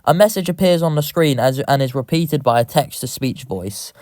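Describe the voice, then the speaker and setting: A man's voice: mid-range voice, moderate pace, a little mellow, no echo. A man reading scripted narration as the narrator of a horror video, recorded close to the mic.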